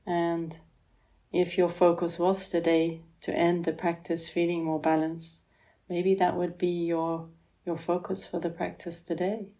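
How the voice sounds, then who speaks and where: A woman guiding a relaxation meditation as a yoga therapist, speaking in a gentle, soothing manner with long pauses. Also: on the low side, little pitch movement, poor audio.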